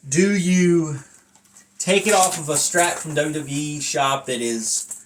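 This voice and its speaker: A man's voice: a little high, takes it slowly, slight background noise. A man talking casually to camera in a rambling review, with a blunt, self-deprecating manner. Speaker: vlogger and wrestling belt collector reviewing a belt.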